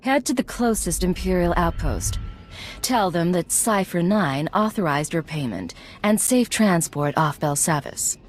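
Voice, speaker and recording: An actress playing a composed, commanding intelligence agent in a video game, with a clipped, businesslike manner. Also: female voice, on the low side, animated, a little slow, faint music behind.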